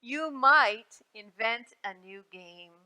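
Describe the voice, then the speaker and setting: A woman with a high, bright voice leads a children's storytime. She reads slowly in a sing-song cadence, stretching key phrases and addressing her young listeners directly in simple words. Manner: sing-song, exaggerated emphasis with drawn-out phrases. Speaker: children's librarian and storytime host.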